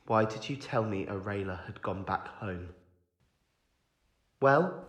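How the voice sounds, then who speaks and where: A man's voice: fairly low pitch, slow, a bit bright. A man playing a young, emotional, protective father-to-be in an audio drama, stumbling over his words in a roomy space. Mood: indignant, hurt. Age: young adult. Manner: emotional, stammering. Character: young father-to-be, shocked, indignant, protective of friends. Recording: roomy, echoing sound.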